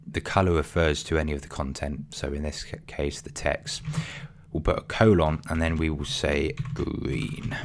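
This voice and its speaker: A man, voice getting croaky, talking through a coding tutorial casually with many ums and apologies. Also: rumbling low. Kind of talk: screen-recorded coding tutorial.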